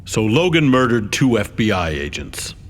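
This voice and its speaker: A stiff formal official grilling someone, low voice, big echoey hall, game cutscene. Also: male voice, sing-song. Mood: formal, probing. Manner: formal, stiffly polite, deliberate. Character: formal, probing senior male official running an interrogation. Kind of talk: dramatic video game cutscene acting. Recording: reverberant hall, faint background noise.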